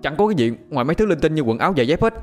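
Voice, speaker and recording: A man's voice: a little high, very animated, rapid-fire, soft background music, pitched above the performer's own voice. A man voicing a startled young gravedigger calling up from a pit.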